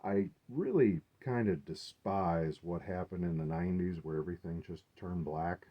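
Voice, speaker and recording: Low-voiced man, rambling casually, dull recording. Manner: halting, unscripted, thinking aloud. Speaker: collector presenting his gear. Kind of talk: casual rambling show-and-tell. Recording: dull, muffled lo-fi sound.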